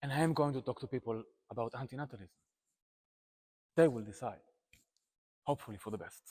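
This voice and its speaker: A man's voice: medium pitch, fairly quick, darkish tone, slightly rough. A man filming himself on a phone outdoors at night, joking wryly. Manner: wry, joking. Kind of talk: casual phone vlog. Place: outdoors at night at a street protest. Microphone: phone held close.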